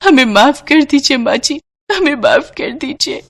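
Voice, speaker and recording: Woman acting a high-pitched dramatic young daughter-in-law. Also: lively intonation, measured pace, fairly bright tone, heavily acted. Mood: tearful remorse. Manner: scornful, dramatic. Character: haughty, sharp-tongued young daughter-in-law.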